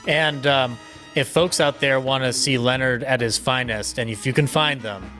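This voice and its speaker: A man's voice: mid-range voice, normal speed, bright, forceful tone. Effusive American man co-hosting over music. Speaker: podcast host, interviewer. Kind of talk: casual interview chat.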